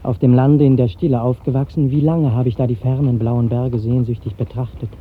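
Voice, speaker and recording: A man's voice: medium pitch, fairly even intonation, a little fast, breathy edge, close to an everyday voice. An actor playing a shy, dreamy young nobleman poet in 1950s radio drama, earnest and lyrical. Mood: yearning. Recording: muffled old 1950s radio recording.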